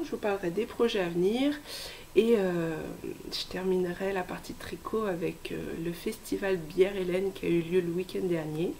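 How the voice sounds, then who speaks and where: Hoarse woman chatting about her knitting. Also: medium pitch, slight background noise. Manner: chatty, many hesitations and soft asides. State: hoarse from a cold. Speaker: knitting podcast host. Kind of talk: casual knitting vlog.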